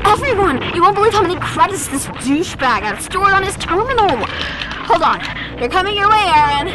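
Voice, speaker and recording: A woman playing an excitable young livestream moderator sidekick in an audio drama. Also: very high-pitched, a little fast, low-quality recording. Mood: excited. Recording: voice under loud music.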